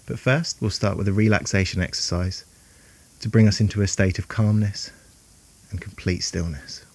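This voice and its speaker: A man guiding a meditation in a calm, soothing, unhurried way, leaving long pauses between phrases. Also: lowish voice. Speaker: meditation guide. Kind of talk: guided meditation narration.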